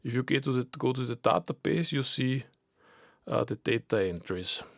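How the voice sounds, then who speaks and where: A man with a creaky, gravelly voice and a medium pitch, recorded close and dry. He speaks English as a second language in an informal explainer, halting often to search for words and repeat himself. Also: expressive, dry recording. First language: not English. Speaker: amateur radio enthusiast presenting an idea.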